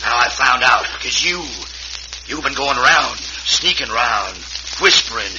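A man's voice: medium pitch, expressive, unhurried. An actor playing a bitter, paranoid, murderous man in an old-time radio western, brooding and menacing. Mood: bitter, raving. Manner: brooding, menacing, dragged-out threats. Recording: muffled, hissy old radio recording.

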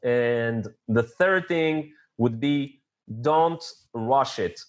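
A man whose first language is French talks casually as a podcast guest. He gives practical advice in an earnest, steady way, with hesitant fillers and restarts while he searches for words. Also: mid-range voice, very slow, dull audio. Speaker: podcast guest, online store founder. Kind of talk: casual interview talk.

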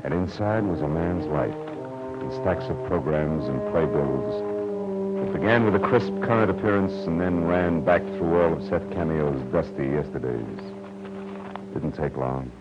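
A man's voice: rumbling low, unhurried. An American man acting a tough, wry private detective in a 1940s radio drama, speaking in a clipped, hard-boiled way. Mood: grim narrating. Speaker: lead actor and narrator. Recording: muffled old radio recording, loud music.

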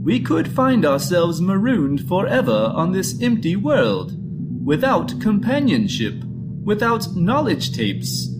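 A man's voice: high-pitched, big pitch swings, slow pace, over loud music, full character voice. A man voicing a fussy, anxious male protocol droid who talks in a prim, overly polite way. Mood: fretful. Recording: loud background noise.

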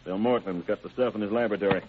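An actor playing a folksy, matter-of-fact sheriff's deputy in an old-time radio crime drama. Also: male voice, fairly low pitch, very fast, background noise, lightly acted. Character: plainspoken, folksy sheriff's deputy showing evidence. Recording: tinny vintage radio recording.

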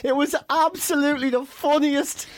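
A woman's voice: high voice, fairly even intonation, moderate pace. An Irish woman co-hosting a casual chat, telling stories animatedly and swearing freely. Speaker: podcast co-host.

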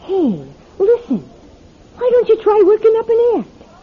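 An actress playing a friendly, streetwise young go-go dancer in a classic radio drama, with breezy, slangy talk and drawn-out words. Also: female voice, extremely high pitch, big pitch swings, full character voice. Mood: bubbly, friendly. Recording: muffled old radio drama recording.

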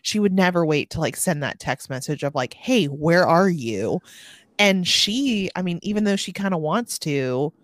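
A woman chatting casually as a podcast co-host, telling things in an animated way and acting out quotes. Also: lowish voice, moderate pace, no room sound. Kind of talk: casual chat about a novel.

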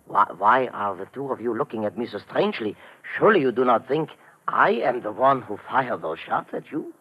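An actor playing a nervous, defensive doctor in an old-time radio drama, stammering. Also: male voice, mid-range voice, very animated, brisk, breathy edge, dull audio. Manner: stammering, protesting. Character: nervous, defensive male German doctor under suspicion.

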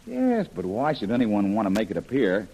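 An actor playing a rugged, level-headed adventurer hero in a 1930s radio serial, with a husky voice. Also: male voice, fairly low pitch, huge pitch swings, moderate pace, dark tone, exaggerated voice, low-quality recording. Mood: skeptical, measured. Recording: old radio recording.

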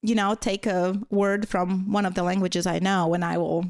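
A woman's voice: on the low side, level tone, normal speed, a little mellow, dry recording, clean studio sound. A woman from Latvia with a Latvian accent, speaking English as a later language and chatting as a guest, rambling with many fillers. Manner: rambling with frequent fillers and apologetic laughs. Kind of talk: casual conversational storytelling.

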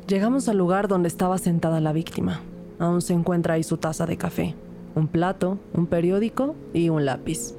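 A woman's voice: lowish voice, fairly even intonation, moderate pace, over music, lightly acted. A woman playing a skeptical young police detective who narrates her investigation in an audio drama. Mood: focused, serious. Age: young adult. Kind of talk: audio drama dialogue and first-person narration.

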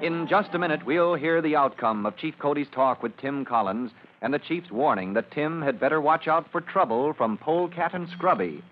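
A man's voice: a little high, expressive, normal speed, over music. A man narrating an old-time radio serial as its announcer, in a formal, dramatic scripted delivery. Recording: muffled, noisy old radio recording.

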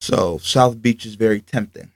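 A man's voice: lowish voice, normal speed, dry recording. A man hosting a sports talk show, ranting emphatically with rhetorical questions, then chatting with a guest. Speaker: sports talk radio host.

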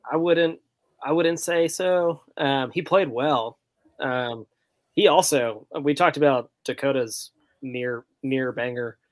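Man, medium pitch, podcast co-host, informal sports chat, hesitant, filler-heavy. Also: slow pace. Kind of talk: casual soccer chat.

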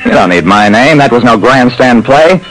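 A man's voice: medium pitch, animated, normal speed, fairly bright tone, lightly acted, low-quality recording. A man playing a tough, wry private detective who narrates his cases in dramatic vintage radio style. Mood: curt. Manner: wry, dramatic, clipped storytelling. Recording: old radio recording with faint noise.

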